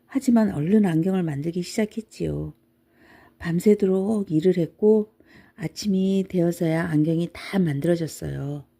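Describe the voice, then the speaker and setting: A woman's voice: on the low side, dark tone. A woman narrating a children's bedtime storybook from a script. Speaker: storybook reader.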